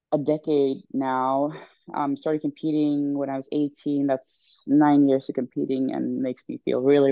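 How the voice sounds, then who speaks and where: A woman's voice: very deep voice, no room sound, lo-fi sound. A woman in her twenties from Sweden, a coach speaking as a podcast guest in a candid, chatty way with many ums. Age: 20s. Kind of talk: casual interview chat.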